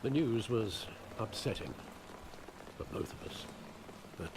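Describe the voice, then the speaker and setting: An actor playing a loyal, weary older butler in a video game, speaking in a measured, somber way. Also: male voice, on the low side, expressive. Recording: game audio with rain noise.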